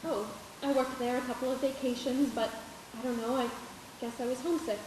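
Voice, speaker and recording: A woman's voice: high voice, fairly even intonation, a little fast, lightly acted. A woman playing a spirited, coy and feisty small-town young woman in a live radio play. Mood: coy, hopeful. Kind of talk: live 1940s-style radio play acting. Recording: very echoey stage, distant, noisy.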